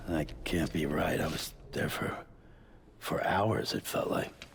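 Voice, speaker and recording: A man playing a gruff, weary, taciturn courier in a video game, muttering tersely in a gravelly voice. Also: rumbling low, takes it slowly, heavily acted. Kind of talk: video game cutscene acting.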